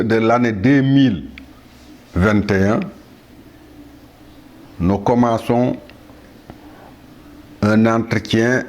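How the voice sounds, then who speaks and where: A man in his seventies from Senegal, delivering a solemn monologue to the world in a measured, halting way with pauses and restarts. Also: lowish voice, darkish tone. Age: 70s. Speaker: speaker addressing the world.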